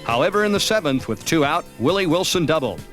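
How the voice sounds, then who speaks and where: An American man narrates a sports highlight film from a script. His voice sits a little on the high side, and music plays underneath. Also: expressive, fast, a bit bright. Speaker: documentary narrator.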